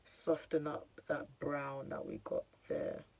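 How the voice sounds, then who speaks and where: A young woman with a hoarse, croaky voice, chatting casually through a makeup tutorial with lots of fillers. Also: deep voice, level tone, slow pace, background noise. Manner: chatty, filler-heavy, self-deprecating. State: hoarse, losing her voice. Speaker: beauty vlogger.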